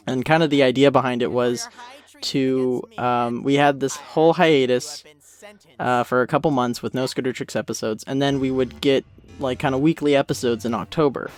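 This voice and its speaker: Man, medium pitch, casual commentary-track chat, rambling, self-deprecating, faint music behind. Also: fairly even intonation, normal speed. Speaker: creator of an animated parody series, commentary host.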